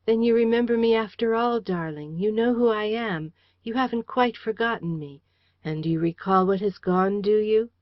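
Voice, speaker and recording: A woman's voice: fairly low pitch, moderate pace, no room sound, natural voice. A woman voicing an earnest young man in an audiobook, imploring and tender toward the woman he loves. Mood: surprised, tender. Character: earnest young man pleading with his beloved. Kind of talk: audiobook character reading. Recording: dry, slightly dull lo-fi audio.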